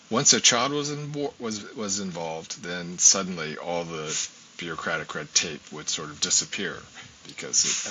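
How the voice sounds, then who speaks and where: A man's voice: extremely low pitch, sharp tone. A man in his sixties, a guest scholar from the United States, telling his story in an interview in a reflective way, with many ums. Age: 60s. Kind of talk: interview conversation. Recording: dull lo-fi audio, faint hiss.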